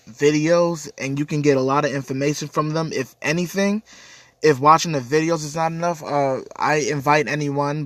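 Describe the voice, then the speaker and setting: A man's voice: on the high side, dry recording. Stuffy-voiced man hosting a dry livestream. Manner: earnest, rambling, repeats words. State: stuffy, congested from a cold. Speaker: livestream host. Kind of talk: casual livestream chat reading viewer comments.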